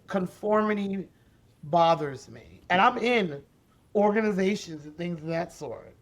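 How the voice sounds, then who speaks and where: Woman with a deep, raspy voice. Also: measured pace. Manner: animated, emphatic, repeats key phrases. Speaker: podcast guest, special education advocate. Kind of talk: conversational interview storytelling.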